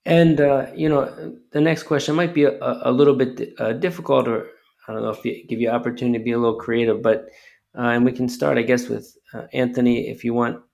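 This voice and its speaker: A man hosting a panel interview, asking questions in a hesitant, halting way. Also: medium pitch, little pitch movement, creaky, a little mellow. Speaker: host. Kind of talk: interview moderation.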